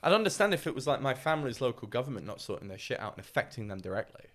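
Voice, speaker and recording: A man's voice: mid-range voice, very animated, very fast, fairly bright tone. A man with a British accent, an English teacher living abroad, co-hosting a podcast in casual chat and rambling with lots of swearing and laughter. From England. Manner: rambling, profane, laughs often.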